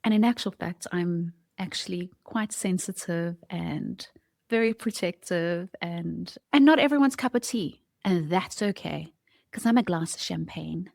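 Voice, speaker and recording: A woman's voice: fairly low pitch, a little slow, gravelly, darkish tone, no echo, clean studio sound. A woman co-hosting a podcast, talking candidly and reflectively in a conversational style. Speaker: podcast co-host.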